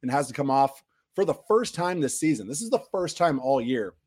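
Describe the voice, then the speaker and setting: A man hosting a casual livestream, talking through a soccer match with a voice that is still hoarse and recovering, rambling and restarting his sentences. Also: mid-range voice, sing-song, a little fast, a little mellow. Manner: rambling, restarts often, reads viewer questions. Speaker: livestream host.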